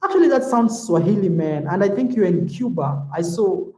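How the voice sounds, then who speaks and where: A man from Africa, with a high, mellow voice, chats in a relaxed, easygoing way on a livestream. He answers viewer comments and drops "man" and "bro" into his talk. The sound bounces around a roomy, echoey space. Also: normal speed. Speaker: YouTube vlogger. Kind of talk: casual livestream chat, reading viewer comments.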